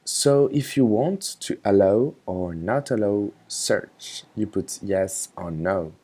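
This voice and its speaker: A man casually narrating a software tutorial, talking informally and a little hesitantly as he instructs. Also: lowish voice, lively intonation, slow pace, husky.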